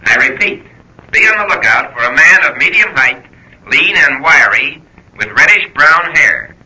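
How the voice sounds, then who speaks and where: A formal police-bulletin announcer in 1940s radio style. His voice is extremely sharp and forceful with a husky grain, and every phrase is clipped and official. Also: on the high side, lively intonation, moderate pace, exaggerated voice, poor audio. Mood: official, urgent.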